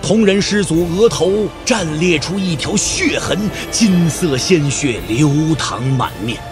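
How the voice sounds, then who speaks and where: A man's voice: fairly high pitch, lively intonation, a little slow, over music. A man giving dramatic scripted narration for an audio drama, theatrical and emphatic.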